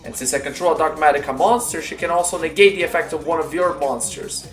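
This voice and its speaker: A man's voice: a little high, fast talker, lightly acted. Male actor as confident card duelist, theatrical and formal, fan anime roleplay, echoey sound over music. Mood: determined. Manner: declamatory, announces each move formally. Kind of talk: fan roleplay anime-style duel acting. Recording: roomy echo under music.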